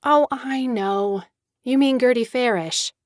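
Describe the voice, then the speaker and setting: A refined, witty young socialite. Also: female voice, fairly high pitch, slow, no room sound, clean studio sound. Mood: disdainful. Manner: refined, expressive, coquettish. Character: elegant young socialite, discontented, witty and charming. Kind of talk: dramatized audiobook acting.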